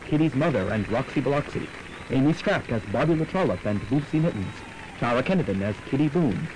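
A man acts as a mock-grave announcer narrating a radio serial. His voice sits at a medium pitch in formal teaser style. The amateur student recording carries faint noise. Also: darkish tone, natural voice, poor audio.

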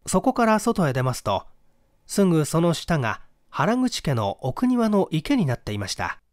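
Animated, high-pitched male storyteller. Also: moderate pace, dry recording, clean studio sound. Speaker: audiobook reader, stage actor. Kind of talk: dramatic scripted narration of a classic detective story.

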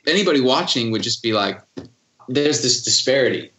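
A man's voice: mid-range voice, expressive, brisk, sharp tone, reverberant. A man guesting on a podcast interview, talking casually with many fillers and easy laughter about leading worship.

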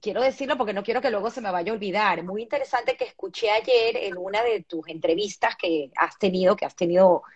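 Middle-aged woman interviewer, even mid pitch, muffled video-call sound. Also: fairly quick, few pauses, breathy edge. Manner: warm, relaxed questioning, reads viewer comments aloud. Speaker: interviewer host. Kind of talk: casual interview. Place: home room. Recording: dull video-call audio.

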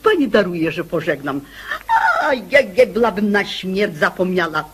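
A woman's voice: on the low side, lively intonation, normal speed, slightly rough. A woman playing a gushing, rambling married mother in a radio comedy, gossipy and falsely humble. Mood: confiding, sly. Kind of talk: theatrical radio comedy acting.

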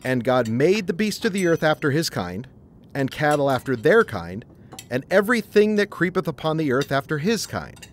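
A man's voice: a little high, normal speed, slight background noise. A middle-aged man acting an upbeat father who earnestly explains things in a family audio drama. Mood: earnest, explanatory. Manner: explanatory, lively.

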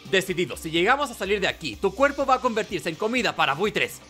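A man's voice: sits high, big pitch swings, quick pace, sharp tone, faint music behind, voice pushed up, full character voice. A man voicing an arrogant, contemptuous young man from a video game in a haughty, commanding way. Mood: haughty, irritated.

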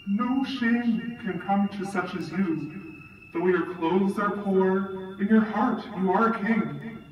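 A woman voicing a serene, stately fairy from paradise in a puppet show, with solemn, measured pronouncements. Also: medium pitch, muffled recording, voice pushed down, lightly acted. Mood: solemn, reassuring. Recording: very echoey, distant, faint noise.

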